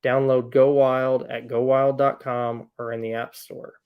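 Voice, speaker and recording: A man's voice: mid-range voice, level tone, measured pace, smooth, phone-quality sound. A man reading a sponsor ad in an upbeat promotional way. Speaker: ad reader. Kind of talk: read sponsor ad.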